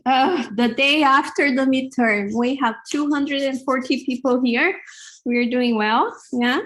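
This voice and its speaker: A middle-aged woman with a Hebrew accent giving a university lecture in a large hall, halting with many fillers. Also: high voice, fairly even intonation, fairly bright tone. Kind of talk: classroom lecture.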